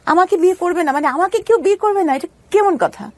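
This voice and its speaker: Bangladeshi lady interview guest, high voice, halting, says things twice to stress them, telling her own story. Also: animated, no echo. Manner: halting, repeats phrases for emphasis.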